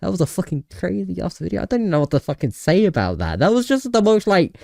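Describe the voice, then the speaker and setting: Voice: medium pitch, sing-song, fast, vocal fry, mellow. A young adult host chatting casually while reacting to game clips, sweary, slangy and jokey.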